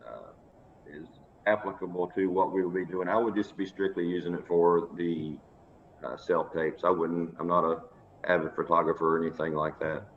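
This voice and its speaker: A man with a deep voice asks casually as an attendee. His voice comes through a tinny, phone-like connection with a little noise behind it. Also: moderate pace. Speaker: audience member asking a question. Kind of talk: casual question. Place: remote call.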